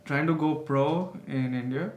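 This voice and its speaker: A man hosting a podcast, talking in a reflective, measured way with pauses. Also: fairly high pitch, a little mellow. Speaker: podcast host. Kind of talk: casual podcast conversation. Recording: roomy echo, faint noise.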